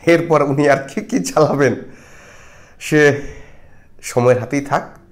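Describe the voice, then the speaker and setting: A man's voice: on the high side, takes it slowly. Middle-aged male film reviewer, reflective and moralizing, to camera on a clip-on mic. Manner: reflective, moralizing, frequent hesitations. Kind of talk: casual film review monologue. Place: small indoor studio with patterned backdrop. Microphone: lapel mic.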